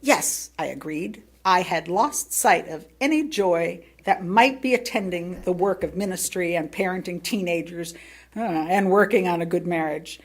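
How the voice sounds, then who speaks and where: An American woman reads a sermon aloud as personal storytelling, speaking expressively with theatrical emphasis and pauses. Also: on the low side. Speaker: lay reader delivering a written sermon.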